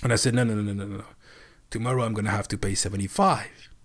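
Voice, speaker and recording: A man telling a story on a live stream, animated and swearing freely as he acts out a heated argument. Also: lowish voice, fast talker.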